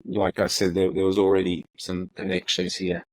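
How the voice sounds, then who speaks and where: A middle-aged man from Ethiopia, telling his story as an interview guest in a halting way, with many fillers and repeated words. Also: sits low, completely flat delivery, no room sound, rough recording. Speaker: interview guest, retired prosecutor. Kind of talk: relaxed reflective storytelling.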